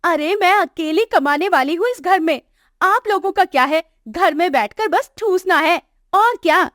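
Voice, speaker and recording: Woman playing haughty, high-pitched scolding young daughter-in-law. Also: expressive, measured pace, fairly bright tone, no room sound, clean studio sound, exaggerated voice. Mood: scornful, angry. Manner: scornful, complaining. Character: arrogant, scolding young working daughter-in-law with a sharp tongue. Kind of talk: acted moral story dialogue for animation.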